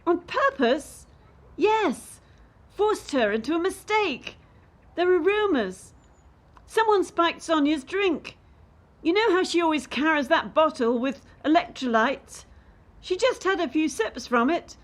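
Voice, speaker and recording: A woman's voice: very high-pitched, animated, unhurried. A woman playing a distressed, idealistic young gymnast in a radio play, with an anxious, aggrieved and brooding manner. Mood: uneasy, suspicious.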